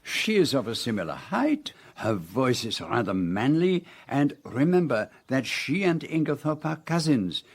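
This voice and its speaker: A man playing a shrewd, theatrical Belgian detective in a radio drama, explaining deliberately and precisely in a Belgian French accent. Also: mid-range voice, big pitch swings, breathy edge, full character voice. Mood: amused, teasing.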